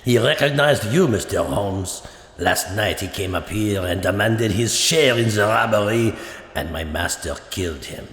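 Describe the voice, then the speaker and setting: An actor playing a loyal old French servant, grave and proud, with a gravelly French accent in a radio drama. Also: male voice, fairly low pitch, expressive, a little slow, fairly bright tone, echoey room, big-room sound. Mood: somber.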